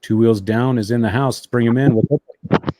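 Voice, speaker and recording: A man's voice: medium pitch, steady tone, fairly quick, a little mellow, no room sound, low-quality recording. A man hosting a motorcycle live stream from home, chatting casually and easygoing, with fillers. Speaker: motovlog live stream host.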